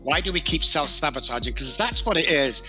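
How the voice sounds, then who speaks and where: A man's voice: fairly high pitch, lively intonation, bright, forceful tone, soft background music, rough recording. A man with a Manchester accent, a podcast guest talking emphatically about addiction recovery and acting out short exchanges. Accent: Manchester English. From England. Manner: emphatic storyteller, acts out quick dialogue. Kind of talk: podcast interview.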